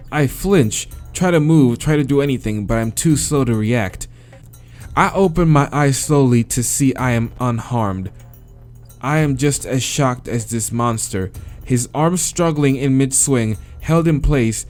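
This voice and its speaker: An American man reading a visual novel aloud as a let's-play host, dramatic in the narration and breaking into slangy, expressive asides. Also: medium pitch. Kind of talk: dramatic read-aloud with casual reactions.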